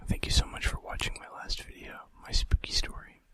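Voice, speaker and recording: A man doing an informal ASMR fact video in a breathy, unvoiced whisper with a hesitant delivery. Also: a little fast, sharp tone. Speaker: ASMR host.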